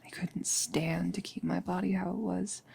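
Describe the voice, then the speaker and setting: A woman playing a nervous, chatty girlfriend in an intimate ASMR roleplay, rambling and confiding with hesitant pauses. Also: on the low side, little pitch movement, creaky, mellow, breathy edge, heavily acted. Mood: vulnerable, reflective. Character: nervous, chatty girlfriend about to become a vampire. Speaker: audio roleplay performer.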